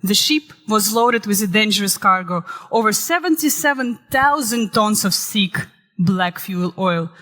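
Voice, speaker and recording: A woman, recovering from illness and saving her voice, giving a lively conference talk that shifts into dramatic storytelling. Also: medium pitch, measured pace. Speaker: conference speaker. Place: conference hall. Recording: reverberant hall.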